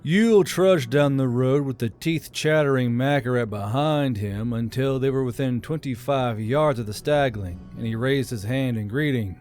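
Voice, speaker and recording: A man's voice: mid-range voice, moderate pace, few pauses, a little mellow, soft background music. A man reading scripted fantasy fiction as an audiobook narrator.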